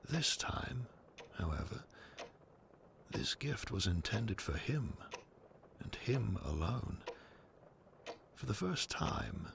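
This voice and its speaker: A man's voice: sits low, gravelly, noisy background. A man reading scripted, storybook-style narration for an audio drama.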